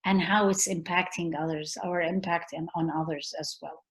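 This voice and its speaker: A woman's voice: on the low side, fairly even intonation, normal speed. Earnest woman with a Middle Eastern accent. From Middle East. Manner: earnest, searching for words with many fillers and restarts. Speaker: guest, peace activist. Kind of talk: reflective conversation. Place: room at home. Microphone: laptop mic on a video call.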